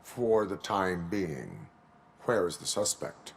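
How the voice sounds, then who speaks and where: An actor playing a stern robotic cyborg police officer in a video game, speaking formally in a clipped, deadpan way. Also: male voice, low voice, slow pace, vocal fry, slight background noise, full character voice. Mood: flat, official. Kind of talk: video game cutscene acting.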